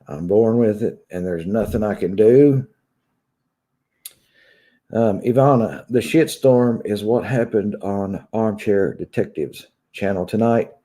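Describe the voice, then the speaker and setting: Dark-voiced man with a Kentucky drawl. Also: lowish voice. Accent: Southern US drawl, Kentucky. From Kentucky, United States. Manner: drawling, conversational. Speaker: YouTube vlogger. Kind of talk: casual chat to viewers, venting. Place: small room at home, indoors.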